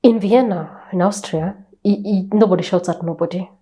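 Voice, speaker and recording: A woman's voice: mid-range voice, normal speed, dark tone. A Kenyan woman speaking as a guest in a conversational interview, telling stories emphatically, repeating phrases and asking rhetorical questions. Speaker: guest author. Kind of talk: animated conversational storytelling.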